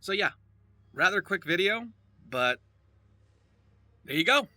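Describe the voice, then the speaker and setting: Sharp, animated man giving casual tutorial. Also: high voice, takes it slowly, no echo. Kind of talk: loose, casual instructional talk.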